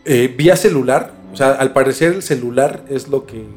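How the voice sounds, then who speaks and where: A man with a Mexican accent telling a story casually as a podcast host, informal and halting as he searches for words. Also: medium pitch, a little mellow, faint music behind.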